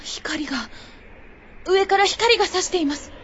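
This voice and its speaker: A woman acting an earnest, tentative young woman on an expedition in a Japanese radio drama, with hushed delivery. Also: very high-pitched, moderate pace, muffled recording, a little hiss or hum. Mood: hushed wonder.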